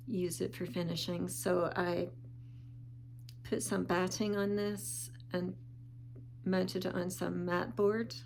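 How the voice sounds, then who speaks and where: Slow, gravelly woman, a little sniffly. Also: lowish voice, slight background noise. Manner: calm, unhurried, with small pauses and restarts. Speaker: vlogger. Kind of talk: casual show-and-tell vlog.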